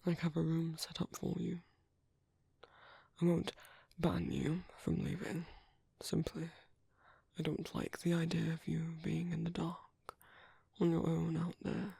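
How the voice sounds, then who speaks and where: A British man playing a gentle, caring vampire priest in an ASMR roleplay, speaking softly, hesitantly and politely in a breathy, whispery voice up close. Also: fairly high pitch, a little slow, dry recording, exaggerated voice. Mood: tender reassurance. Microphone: close microphone.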